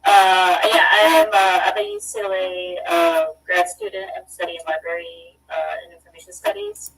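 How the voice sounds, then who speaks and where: A young woman with a slightly low voice introduces herself hesitantly as a graduate student on a video call. She sounds distant from the mic, with a faint hum in the background. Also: big pitch swings, moderate pace, fairly bright tone.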